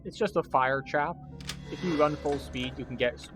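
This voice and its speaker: A man's voice: on the high side, normal speed, a bit bright, soft background music. A man giving a casual walkthrough commentary as he plays a video game. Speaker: gameplay guide and streamer.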